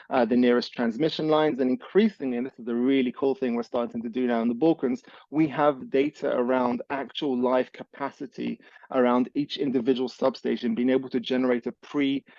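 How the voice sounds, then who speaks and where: A man from Ireland giving an energetic, persuasive startup pitch over a video call, then answering questions. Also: dry recording, highs cut off. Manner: energetic, persuasive, fast-talking with restarts. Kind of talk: business pitch and Q&A.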